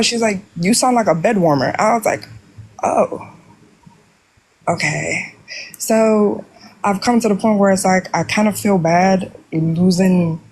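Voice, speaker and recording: A woman's voice: mid-range voice, breathy edge, low-quality recording. Jamaican woman rambling about a crush. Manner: rambling with many fillers, sheepish. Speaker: caller asking for advice. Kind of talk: casual chat.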